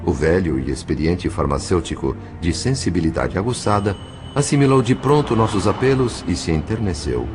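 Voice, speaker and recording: A man with a Brazilian accent playing a grave, compassionate narrator in an audio drama, in measured, literary phrasing. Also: deep voice, animated, music in the background. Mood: grave, concerned.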